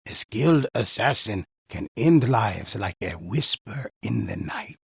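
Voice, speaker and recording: An actor playing a mysterious, solemn older male storyteller in a video game, telling legends in a measured, portentous way with a husky, gravelly voice. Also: fairly low pitch, lively intonation, no room sound, studio quality, full character voice. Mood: reverent, hushed intensity. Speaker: storyteller narrator. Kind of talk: dramatic scripted storytelling narration for a video game.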